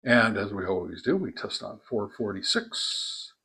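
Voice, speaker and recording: An American man hosting a casual hands-on gear review, talking in a halting, plain-spoken, folksy way. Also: fairly low pitch, very animated, sharp tone, slightly rough. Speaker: host of a ham radio gear review channel.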